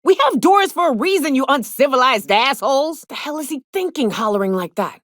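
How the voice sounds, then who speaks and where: A woman's voice: high voice, animated, fairly quick, fairly bright tone, dry recording, clean studio sound. A woman playing a brash, sardonic gunslinger from a space-colony crew in a video game, with a wry, drawling, folksy, sweary delivery. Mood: exasperated, fierce. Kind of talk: video game voice acting, short companion lines.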